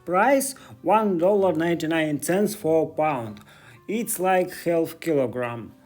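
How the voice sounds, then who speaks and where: A man's voice: on the high side, expressive, takes it slowly, darkish tone, soft background music. A man in his thirties, a native Russian speaker with a Russian accent, chatting curiously in broken English as he vlogs from stores on a handheld phone. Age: 30s. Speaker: vlogger. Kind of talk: casual walking vlog. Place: stores in the city.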